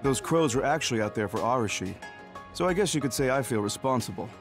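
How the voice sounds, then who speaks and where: An actor playing a kindly, courteous major general in an anime game, gracious and encouraging. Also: male voice, medium pitch, animated, fast, music in the background, natural voice. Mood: kindly, reassuring. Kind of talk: anime video game dub acting.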